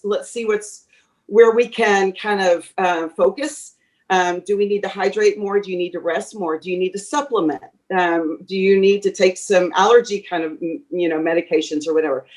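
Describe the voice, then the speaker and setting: A woman voice teacher being interviewed, talking chattily and advising from experience, with lots of fillers and restarts. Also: mid-range voice. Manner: speaks from a teacher's experience, giving advice. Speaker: voice teacher, guest. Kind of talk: conversational interview answers.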